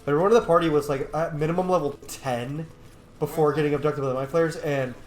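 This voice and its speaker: A man's voice: fairly high pitch, steady tone, fast talker, darkish tone. A man giving casual, rambling, geeky commentary as he plays a video game. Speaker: gaming streamer, player. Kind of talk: casual let's play commentary. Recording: roomy echo, faint game music. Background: faint game music.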